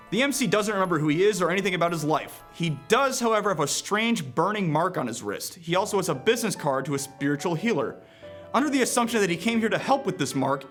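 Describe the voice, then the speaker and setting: Male reviewer, higher-pitched and very lively in intonation, playful scripted narration with casual asides, faint music underneath. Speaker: video game reviewer.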